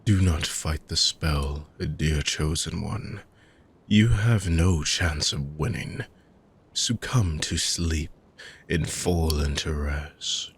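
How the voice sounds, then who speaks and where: A man playing a weary, deep-voiced dark mage. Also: vocal fry, breathy edge, heavily acted. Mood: soothing, hushed. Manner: slow, measured, drifting into hushed whispers. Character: weary ancient male dark mage villain. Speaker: audio roleplay performer. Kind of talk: fantasy roleplay audio drama.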